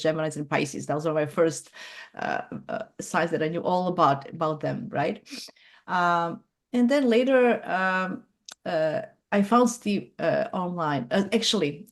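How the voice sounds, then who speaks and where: A middle-aged woman from Croatia, hosting a casual group video call on astrology and talking haltingly, with many fillers and restarts as she searches for words. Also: mid-range voice.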